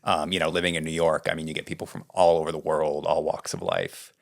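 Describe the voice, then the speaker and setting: A middle-aged man from California, a writing coach being interviewed, talking in a relaxed, rambling way. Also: rumbling low, quick pace, vocal fry. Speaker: guest, script doctor and writing coach. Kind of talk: casual interview talk.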